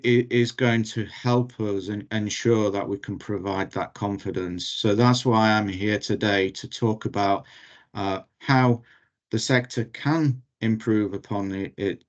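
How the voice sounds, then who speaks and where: A man's voice: on the low side, lo-fi sound. A man introducing himself as a panel member on a webinar, earnest and stumbling with many fillers. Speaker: panelist, industry group chair.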